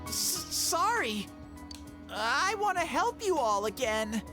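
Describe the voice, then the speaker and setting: A woman voicing a small, male talking bear creature in a video game, speaking earnestly and haltingly, often apologetic. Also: high voice, big pitch swings, music in the background, exaggerated voice. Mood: sheepish.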